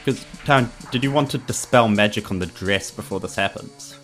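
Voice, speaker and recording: A man's voice: lowish voice, fairly quick, fairly bright tone, faint music behind. A man chatting casually as a player in a tabletop game.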